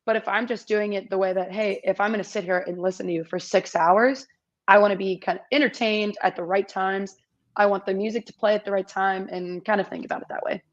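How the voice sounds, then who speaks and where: A woman in her twenties with a slightly raspy voice, chatting upbeat as a guest in an interview over a video call. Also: medium pitch, steady tone, fairly quick, highs cut off. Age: 20s. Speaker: competition MC, guest. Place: small indoor room.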